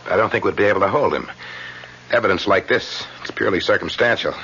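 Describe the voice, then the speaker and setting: An actor playing a confident, probing undercover police detective in an old-time radio drama, assured and deliberate. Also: male voice, sits low, sharp tone. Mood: assured, firm. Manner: deliberate, assured, with thoughtful hesitations. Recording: muffled, noisy old radio recording.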